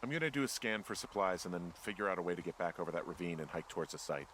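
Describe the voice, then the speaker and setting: A man's voice: low-pitched, racing, very noisy, lightly acted. An actor playing a weary, plainspoken male fire lookout in a video game, speaking in a dry, hesitant, conversational way. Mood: wary. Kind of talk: naturalistic video game dialogue.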